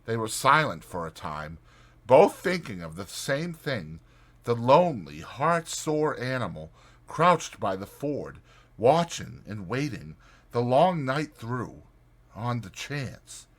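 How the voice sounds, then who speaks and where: A man's voice: mid-range voice, big pitch swings, slow. A man narrating a classic storybook in a dramatized literary reading style. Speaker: audiobook narrator.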